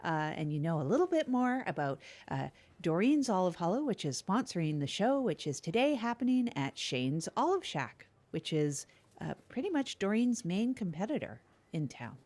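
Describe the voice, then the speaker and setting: A woman's voice: on the low side, very animated, normal speed, darkish tone. A woman co-hosting a casual comedy podcast with dry, teasing banter. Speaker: podcast co-host.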